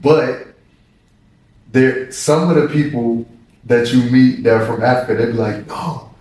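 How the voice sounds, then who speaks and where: A young American man, a basketball player, telling stories in a relaxed and reflective way. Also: medium pitch, measured pace, distant mic. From United States. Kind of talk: casual interview conversation. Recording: echoey room, mic set back.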